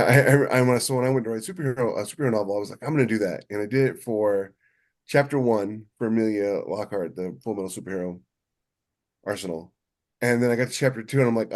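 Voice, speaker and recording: Man, lowish voice, podcast co-host, casual shop talk, rambling delivery. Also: darkish tone. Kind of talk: casual chat about writing craft.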